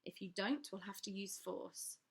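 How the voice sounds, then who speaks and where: A woman's voice: medium pitch, moderate pace, gravelly, breathy edge. A woman voicing a frightened but defiant young girl who pleads breathlessly. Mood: pleading.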